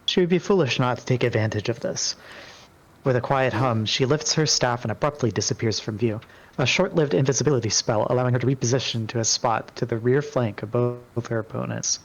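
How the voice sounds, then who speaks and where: A man's voice: medium pitch, brisk. Man narrating fantasy through a dull mic. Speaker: player narrating in a dramatic roleplay reading. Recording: dull, lo-fi microphone sound.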